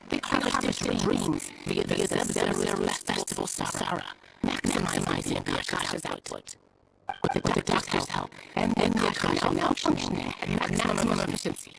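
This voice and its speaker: A woman playing a frightened academic researcher in a video game cutscene, speaking in halting stammers. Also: extremely low pitch, creaky, heavily acted, poor audio. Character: frightened academic researcher confessing under threat. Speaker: game character actor.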